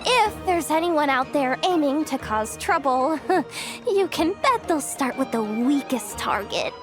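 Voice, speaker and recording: A woman's voice: high voice, expressive, unhurried. A woman playing an earnest, overworked young woman manager in a video game, with a fretful delivery. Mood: wary. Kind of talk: animated game voice acting. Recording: music under the voice.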